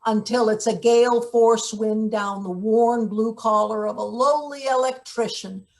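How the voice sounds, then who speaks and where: A woman's voice: a little high, steady tone, unhurried. A woman playing a sardonic, hard older forewoman in a live play reading, with a dry, wordy, sarcastic manner. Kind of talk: live table read of a satirical play.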